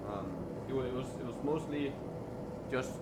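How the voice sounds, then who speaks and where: A man's voice: medium pitch, moderate pace. A young man with a Danish accent, chatting candidly and rambling as he streams from his metal workshop, swearing casually. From Denmark. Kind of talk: casual chat while working on stream. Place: indoor workshop. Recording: echoey workshop, air conditioning hum.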